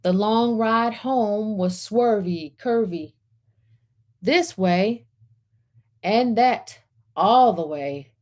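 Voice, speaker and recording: A woman hosting a storytime as a reverend, speaking in measured short phrases as she welcomes, guides and reads aloud. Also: mid-range voice, darkish tone. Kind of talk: gentle guided centering and picture book read-aloud.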